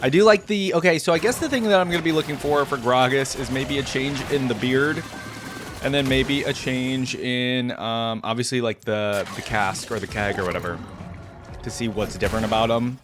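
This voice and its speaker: A man's voice: mid-range voice, steady tone, normal speed, over music. A man hosting a reaction video, speaking in an informal, enthusiastic way. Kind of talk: casual reaction commentary and story reading.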